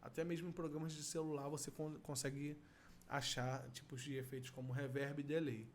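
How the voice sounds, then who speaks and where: A man's voice: on the high side, little pitch movement, fairly quick, a little hiss or hum. A middle-aged man with a Brazilian accent, explaining vocal effects as an instructor in a tutorial. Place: small indoor room at a computer.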